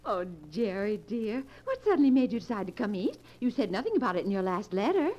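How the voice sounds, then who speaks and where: An actress playing a gushing, affectionate young American wife in 1940s radio style, effusive and sweetly eager. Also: female voice, mid-range voice, sing-song, fairly quick, a little mellow, lightly acted. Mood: excited, fond. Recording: dull old radio recording.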